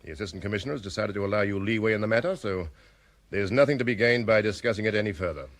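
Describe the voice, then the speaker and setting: A man's voice: fairly low pitch, very fast, natural voice. A man playing a curt, by-the-book superintendent. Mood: reproving. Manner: curt and reproving. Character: stiff, sarcastic police superintendent, by-the-book. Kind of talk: vintage radio drama acting.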